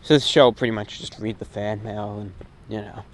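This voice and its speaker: Man musing aloud over clear background noise. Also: lowish voice, fairly even intonation, normal speed. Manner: rambling, reflective, declarative short phrases. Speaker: host of a radio and online show. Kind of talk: casual unscripted monologue. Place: outdoors, recorded while walking. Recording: clear ambient noise behind the voice.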